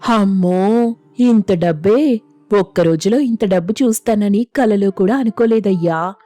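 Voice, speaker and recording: A woman's voice: medium pitch, unhurried, dark tone, dry recording, soft background music, natural voice. A woman playing a thrifty, nagging village wife in a moral story, with colloquial rustic speech. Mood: amazed. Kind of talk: Telugu moral story voice acting.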